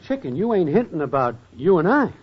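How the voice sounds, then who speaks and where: An American actor playing a lazy, scheming boyfriend with slangy street talk in a 1940s radio comedy. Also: male voice, fairly high pitch, big pitch swings, brisk, breathy edge. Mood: smooth-talking. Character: slangy scheming small-time male boyfriend, lazy dreamer. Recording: dull, noisy old radio broadcast.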